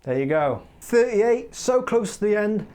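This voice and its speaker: A man's voice: high voice, measured pace, smooth. A man hosting a gaming countdown in casual commentary, rambling and opinionated, his voice wearing thin. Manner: opinionated, rambling, lively asides. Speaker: gaming video host. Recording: faint music behind.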